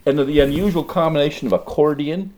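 A man's voice: on the high side, quick pace. An older man, a musician and record collector, reminiscing casually and acting out the remarks he quotes. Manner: animated, acts out remembered quotes.

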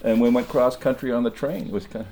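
A man's voice: mid-range voice, fast, a loud crowd. An older man chatting casually as a guest, looking back on his days as a band musician. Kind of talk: casual reminiscing chat.

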